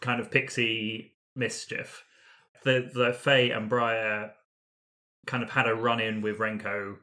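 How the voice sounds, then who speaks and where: A man's voice: lowish voice, flat delivery, slow, sharp tone. A man talking casually as a player in a tabletop roleplaying game, brainstorming story ideas aloud.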